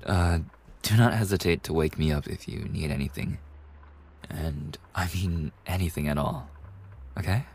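Low, husky, gentle young bartender played by a man. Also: moderate pace. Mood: soft, soothing. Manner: gentle, reassuring, slightly bashful. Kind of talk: intimate ASMR roleplay acting.

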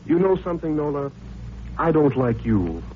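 An actor playing a cynical, hard-drinking newspaper columnist, wry and needling, in 1940s radio drama style. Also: male voice, fairly high pitch, sing-song, moderate pace. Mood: bitter, needling. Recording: tinny, hissy old radio recording.

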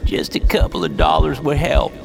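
A man doing a hoarse, worn-down homeless man. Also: a little high, very animated, moderate pace, over music, pitched above the performer's own voice, exaggerated voice. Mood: pleading.

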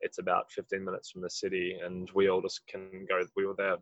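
A musician with a husky voice, answering interview questions in a rambling way, full of "like". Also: male voice, deep voice, completely flat delivery, fairly quick, no room sound, lo-fi sound. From Australia. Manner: rambling, heavy use of "like". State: husky from late rehearsal. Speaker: musician in a band.

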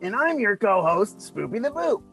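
A man voicing an eager, cute creature who co-hosts a comedic radio broadcast in an animated manner. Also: high voice, moderate pace, creaky, soft background music, heavily acted. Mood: excited.

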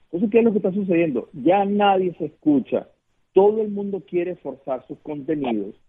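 Venezuelan man on a husky phone line. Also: sits high, lively intonation, normal speed. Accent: Venezuelan Spanish. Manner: earnest, repeats words while searching, rhetorical questions. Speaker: political consultant guest. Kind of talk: radio interview over the phone.

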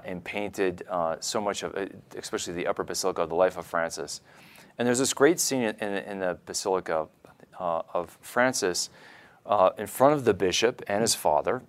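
A man with a fairly low voice explains religious ideas in a conversational podcast style. He sounds enthusiastic, and his phrasing is halting, with words often repeated as he builds a point. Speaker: podcast co-host, religious scholar.

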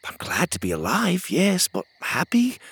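A man's voice: sits high, wildly swooping pitch, measured pace, vocal fry, fairly bright tone, husky, voice pushed up, heavily acted. A man voicing an eager, earnest young boy hero in a storybook reading, with a raised, boyish voice. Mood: wistful. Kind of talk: storybook character voice.

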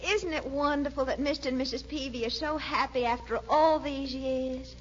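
An actress playing a coquettish, sugary middle-aged widow in 1940s radio comedy, coy and cooing. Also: female voice, high-pitched, steady tone, moderate pace, lightly acted. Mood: coy, hinting. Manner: coy, cooing, hesitant hints. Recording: muffled, noisy old radio recording.

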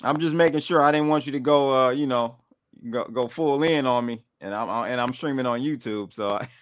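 Easygoing male stream host, relaxed and repetitive, dry close-miked sound. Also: medium pitch, fairly quick, no room sound. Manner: easygoing, repeats himself, laughs along. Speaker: crypto channel host. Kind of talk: casual livestream chat.

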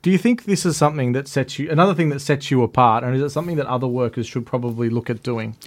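A man co-hosting a podcast, asking questions in a measured, mock-serious way. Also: medium pitch. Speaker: podcast co-host. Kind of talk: casual podcast interviewing.

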